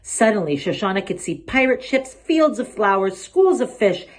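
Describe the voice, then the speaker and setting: Animated woman reading a children's story. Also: mid-range voice, normal speed. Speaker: storybook reader. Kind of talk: expressive children's story read-aloud.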